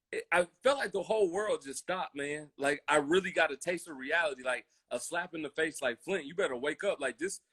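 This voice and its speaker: A man's voice: high-pitched, a bit bright. An American man co-hosting a casual, impassioned podcast, talking emphatically, repeating phrases and acting out imagined quotes. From military family, grew up in Germany, Colorado and Hawaii. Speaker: podcast co-host.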